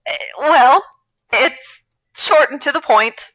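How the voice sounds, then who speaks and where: A woman podcast host with a bright, fairly high voice. She is giggly and wry, moving between casual chat and comic reading aloud. The sound is close and dry. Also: takes it slowly, no echo, dull audio. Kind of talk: comedic read-aloud of fan fiction with casual chat.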